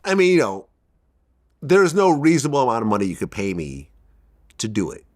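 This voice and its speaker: A man's voice: fairly quick, no room sound. 50-something guy talking straight in an interview, voice jumps all over, dry close sound. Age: 50s. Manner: blunt, animated storyteller who drops his voice low for emphasis. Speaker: interview guest. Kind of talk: candid interview chat.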